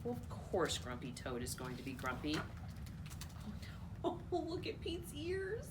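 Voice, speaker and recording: A woman hosting a children's storytime, reading a picture book aloud in an expressive, playful way. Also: sits low, unhurried, background noise. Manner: animated, expressive, playful asides. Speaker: children's storytime host at a library.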